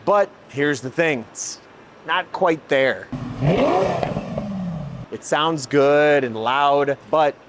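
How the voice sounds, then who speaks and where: A man's voice: on the high side, extremely slow, long pauses, heavy background noise. A man hosting an automotive video, presenting and explaining in an emphatic, enthusiastic way. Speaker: host, exhaust shop builder. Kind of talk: presenting car exhaust comparison, part scripted, part explanatory.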